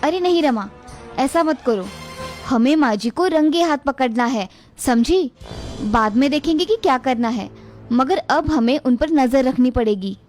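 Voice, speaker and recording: A woman's voice: high-pitched, animated, measured pace, darkish tone, soft background music. A woman voicing a firm, cautious elder daughter-in-law in a cartoon family story. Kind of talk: Hindi cartoon story dialogue.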